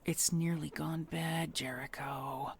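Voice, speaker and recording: A woman playing a sly, taunting, hungry vampire brother in an audio roleplay, with a breathy, languid, teasing delivery. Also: deep voice, steady tone, slow, very noisy, exaggerated voice. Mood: hungry, insistent. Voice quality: breathy, half-whispered. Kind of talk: audio roleplay drama acting.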